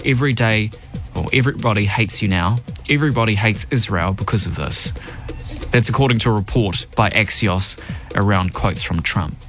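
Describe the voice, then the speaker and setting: A man's voice: on the low side, normal speed, fairly bright tone, soft background music. A man with a New Zealand accent hosting a radio show, giving emphatic opinion commentary and reading news headlines. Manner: emphatic, opinionated, varied delivery. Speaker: radio host.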